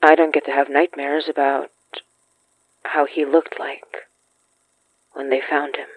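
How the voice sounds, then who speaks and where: A woman's voice: low voice, level tone, a little slow, slightly rough, dry recording, narrow, tinny audio. A woman playing an earnest, stubborn young woman who confronts ghosts in an audio horror drama. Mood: sombre, quiet. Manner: earnest, insistent, pleading.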